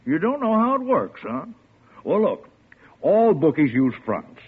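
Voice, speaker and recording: A man's voice: a little high, big pitch swings, a little slow, breathy edge, full character voice. An actor playing a brusque, streetwise man in a 1940s radio play. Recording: tinny old radio broadcast.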